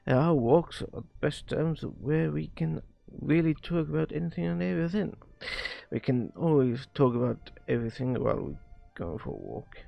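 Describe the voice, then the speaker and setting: A man streaming a visual novel, reading its dialogue aloud haltingly and often stumbling and rereading lines. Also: a little high, animated, creaky, lo-fi sound. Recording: music behind the voice. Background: music.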